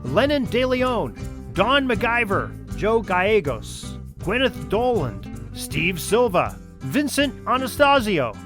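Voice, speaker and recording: A man reading the closing credits of an audio drama at a rapid pace. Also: high voice, over music. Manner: rapid, lively announcing. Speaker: announcer. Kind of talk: credits read.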